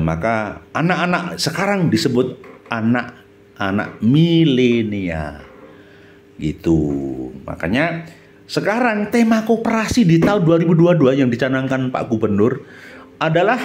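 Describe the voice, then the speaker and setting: A middle-aged man speaks in a Central Javanese accent with a very animated, swooping pitch. He talks like a chatty host reminiscing aloud. He often checks in with his listener through short questions. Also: unhurried, long pauses. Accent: Javanese Indonesian, Central Java. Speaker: channel host, former radio announcer. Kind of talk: reminiscing monologue with a companion chiming in.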